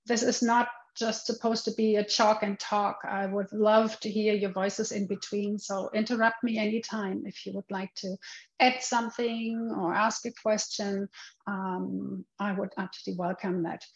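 Middle-aged woman with a German accent, non-native English speaker, relaxed lecturer on a slightly muffled video call. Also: medium pitch, moderate pace. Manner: chatty, loose, with many restarts and fillers. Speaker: guest lecturer. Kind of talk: webinar presentation. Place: home room.

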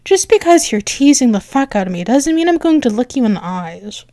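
A woman playing a flustered, bratty but affectionate cat-girl girlfriend in an ASMR roleplay, halting and teasing as she stammers. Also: a little high, sing-song, mellow, no echo, studio quality. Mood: coy, teasing. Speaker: audio roleplay performer.

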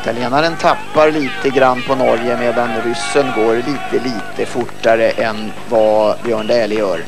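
A man's voice: medium pitch, music in the background. Swedish man calling a ski relay. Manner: measured, rising to excited calls at the finish. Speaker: sports commentator. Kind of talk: live ski race commentary.

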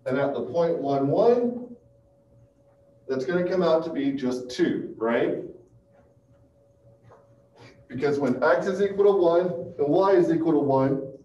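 A man in his thirties giving a math lecture in halting phrases, often checking in with his listeners, picked up by a distant camera mic in a classroom. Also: a little high, dark tone. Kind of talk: classroom calculus lecture, working through steps aloud. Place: small classroom with chalkboard and projector. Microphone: far camera. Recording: muffled, roomy, distant mic with hiss.

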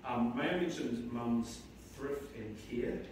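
Halting New man in an echoey church. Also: mid-range voice, recorded from a distance, slight background noise. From New Zealand. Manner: halting, warm, with many restarts and fillers. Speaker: son giving a eulogy. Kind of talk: eulogy at a memorial service. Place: large church sanctuary. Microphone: far camera in the pews.

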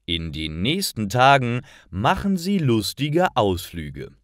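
A man reading scripted stories for language learners with careful, deliberate enunciation. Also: mid-range voice, big pitch swings, fairly bright tone, no room sound, clean studio sound. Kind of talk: scripted story narration for learners.

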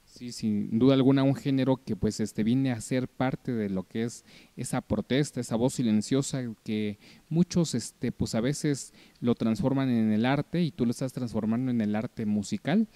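A man's voice: medium pitch, normal speed, dark tone, dry recording. A young man hosting an interview, asking questions in a wordy way with many fillers, on a handheld mic indoors. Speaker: interviewer host. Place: small indoor room.

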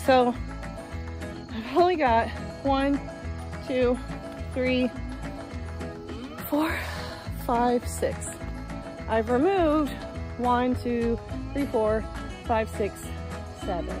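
A woman's voice: on the high side, very slow, long pauses, over music. A young woman chatting casually to her phone camera outdoors in a backyard garden, upbeat while she works. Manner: chatty and upbeat, talking while doing yard work. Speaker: homestead vlogger. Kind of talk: casual vlog talk to a handheld camera. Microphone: handheld phone at arm's length.

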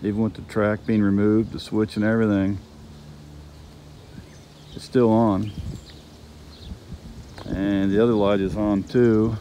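A man's voice: on the low side, level tone, a little slow, long pauses, dark tone, slight background noise. A middle-aged man casually narrating trains and tracks outdoors, pointing things out to viewers as he films with his phone. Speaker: railfan vlogger. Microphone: phone camera held by the speaker.

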